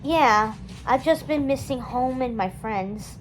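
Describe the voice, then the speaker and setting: A woman's voice: sits high, slow pace, a little hiss or hum, poor audio. A woman voicing an anxious, frightened girl in a children's storybook read-aloud. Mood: uneasy. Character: anxious girl, frightened and pleading with her friend.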